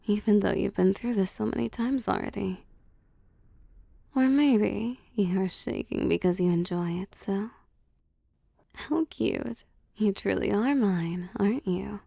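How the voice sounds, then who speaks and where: A woman voicing a seductive, possessive vampire boss in an intimate audio roleplay, cooing and teasing softly and often slipping into whispers. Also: medium pitch, gravelly, dry recording. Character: seductive, possessive female vampire CEO pampering her prey. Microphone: close, dry microphone.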